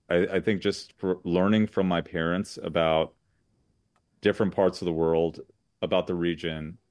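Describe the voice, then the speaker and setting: An American man from Southern California, a lawyer speaking as an interview guest, earnest and measured with many fillers. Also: low-pitched, darkish tone, clean tone, no echo, studio quality. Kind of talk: interview conversation.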